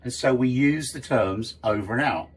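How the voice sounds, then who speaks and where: A man's voice: medium pitch, moderate pace, husky. A middle-aged man giving an informal, explanatory lesson as a sailing instructor, conversational and stressing key terms.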